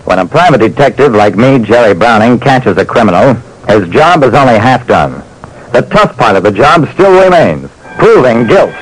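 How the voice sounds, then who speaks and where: A man's voice: mid-range voice, big pitch swings, husky. A man playing a hard-boiled private detective, narrating his cases dramatically in an old-time radio show. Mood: dramatic narration. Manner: dramatic, deliberate. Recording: noisy old radio recording.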